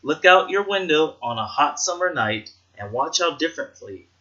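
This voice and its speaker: A man reading a rhyming children's picture book aloud with an expressive, sing-song rhythmic delivery. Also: fairly high pitch, moderate pace, highs cut off.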